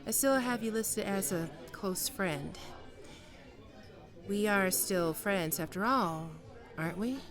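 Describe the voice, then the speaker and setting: A woman acts out a wistful, proud woman reunited with an old lover in an intimate audio drama. Her medium-pitched voice moves slowly, with hesitant gaps and sighs. She sounds flustered yet guarded. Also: over music, natural voice. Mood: wistful, uneasy. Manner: hesitant, halting, with sighs and trailing off. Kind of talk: intimate ASMR roleplay acting.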